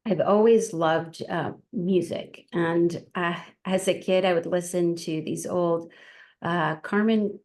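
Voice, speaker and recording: Woman, forties, from queens new york, slightly low voice, podcast guest, hesitant and thoughtful. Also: fairly even intonation, slow, darkish tone. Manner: thoughtful, hesitant, many restarts and fillers. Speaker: interview guest. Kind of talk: casual interview.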